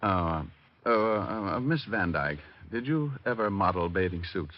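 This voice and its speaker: An actor playing a wisecracking, flirtatious private detective with an American accent and breezy, sarcastic delivery in golden-age radio style. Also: male voice, deep voice, animated, normal speed. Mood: glib, flirtatious. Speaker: lead actor and narrator of detective radio drama. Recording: muffled old radio recording.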